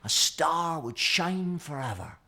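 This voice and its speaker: This is a sweet young storybook heroine, played by a man. The pitch sits high and moves in lively swings. The manner is dreamy and wishful. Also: takes it slowly, vocal fry, full character voice.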